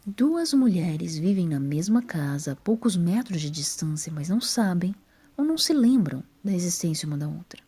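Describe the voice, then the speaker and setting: Breathy, mellow Brazilian woman narrator. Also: lowish voice, big pitch swings, moderate pace. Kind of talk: scripted story narration.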